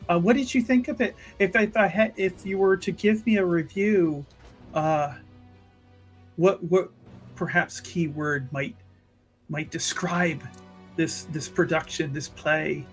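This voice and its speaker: A woman's voice: lowish voice, unhurried, soft background music, close to an everyday voice. A woman playing a gossipy, playfully scandalized chantry sister in a tabletop roleplaying game, with a theatrical, mock-pious manner. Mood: coy, curious.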